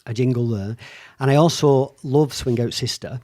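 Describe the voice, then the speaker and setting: A man's voice: medium pitch, normal speed, darkish tone. A man from England hosting a casual video podcast chat, laughing often as he talks. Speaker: podcast host. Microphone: video podcast mic.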